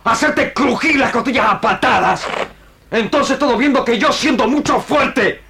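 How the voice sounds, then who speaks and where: High voice, cunning chief villain, broken grammar, bragging, old radio drama. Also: male voice, measured pace, vocal fry, bright, forceful tone, a little hiss or hum, exaggerated voice. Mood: boastful.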